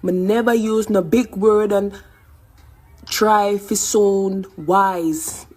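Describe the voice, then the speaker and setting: A woman, reading scripture aloud in a deliberate way. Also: mid-range voice, fairly even intonation, a little mellow.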